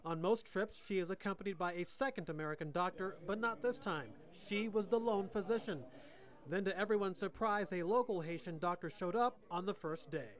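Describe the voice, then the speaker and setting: A man narrates a televised news feature with a fairly high voice. His delivery is steady and measured, as scripted reporting tends to be. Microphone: handheld station mic.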